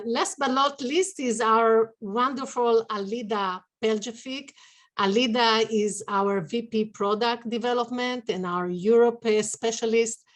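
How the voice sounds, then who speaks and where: A woman with an Israeli accent hosts a business webinar over a video call. She speaks haltingly, filling pauses and restarting phrases as she introduces her colleagues. Her voice sits at a middle pitch. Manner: halting, many fillers and restarts. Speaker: webinar host, sales executive.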